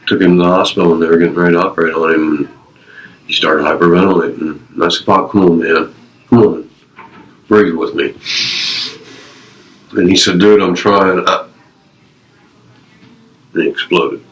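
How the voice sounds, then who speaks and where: A man's voice: sits low, fairly even intonation, moderate pace, pauses often, rough recording. A man in his fifties, a retired Navy veteran being interviewed, sharing personal stories and life advice earnestly in short, emphatic, repeated phrases. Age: 50s.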